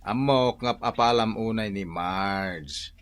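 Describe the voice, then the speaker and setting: A man's voice: on the low side, takes it slowly, clean tone. A man playing a preachy, exasperated older brother with an Ilocano Filipino accent in a radio drama. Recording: music behind radio drama.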